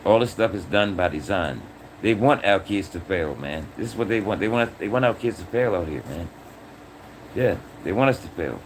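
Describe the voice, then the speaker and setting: A man's voice: low voice, expressive, normal speed, slight background noise, rough recording. A man in his sixties talking casually to his livestream chat, earnest and repeating his points, often ending phrases with "man". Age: 60s. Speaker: livestream host.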